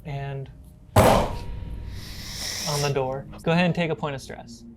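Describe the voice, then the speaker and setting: A woman running a tabletop horror role-playing game as game master, narrating scenes in pieces with pauses. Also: low voice, fairly even intonation, very noisy.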